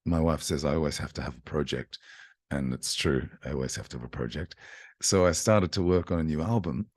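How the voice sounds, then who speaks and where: Chatty man, very deep voice. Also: brisk, breathy edge. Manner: chatty, animated storytelling with frequent restarts. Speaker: singer-songwriter. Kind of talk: casual talk to an online audience. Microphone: video call.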